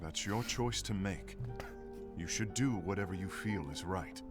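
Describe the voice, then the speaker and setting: A man playing a grave, forceful leader in a video game, stern and persuasive. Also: deep voice, a little slow, over music. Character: grave, forceful male leader urging alliance. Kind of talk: video game cutscene acting.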